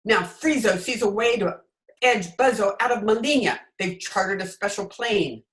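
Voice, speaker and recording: A woman's voice: mid-range voice, lively intonation, normal speed, fairly bright tone, lightly acted. A woman playing a brusque, dramatic señora in an old-time radio comedy, speaking emphatically. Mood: urgent. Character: sharp, dramatic señora of a corrupt island regime.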